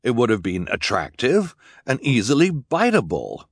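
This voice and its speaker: A man voicing a mild, well-meaning guardian in an audiobook, earnest and gently protesting. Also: mid-range voice, wildly swooping pitch, normal speed, breathy edge, no echo, clean studio sound, voice pushed up, exaggerated voice. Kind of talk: audiobook character voice.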